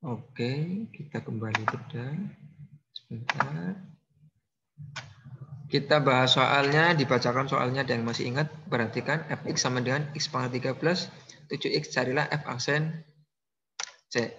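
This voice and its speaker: A man's voice: medium pitch, unhurried, pauses often, dull audio, noisy background. Joking male math lecturer. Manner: playful, teasing, jokes with students; plays with mock regional accents. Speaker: university lecturer.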